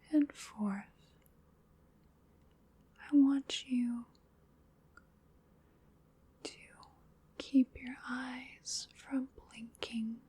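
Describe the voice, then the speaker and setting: A woman whispering in a breathy voice, giving soothing, unhurried ASMR hypnosis as part of a roleplay. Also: fairly high pitch, darkish tone. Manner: soothing, unhurried, repetitive guided relaxation. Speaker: ASMR performer.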